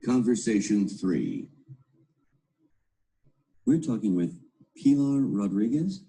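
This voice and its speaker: A man's voice: fairly low pitch, big pitch swings, slow pace, dark tone. A man narrating textbook audio, announcing exercises and interviewing speakers.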